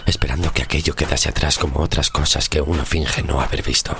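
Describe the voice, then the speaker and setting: A man's voice: rumbling low, fairly even intonation, fairly quick, background noise. A man playing a weary, stubborn sixteenth-century sailor in an audio horror drama, curt and sardonic in archaic formal speech. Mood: weary, brooding. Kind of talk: audio horror drama with first-person narration.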